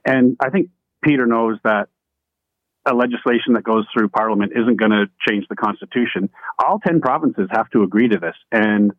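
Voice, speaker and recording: Deliberate male panelist on a thin line. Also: on the low side, no echo, phone-quality sound. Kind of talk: radio panel debate.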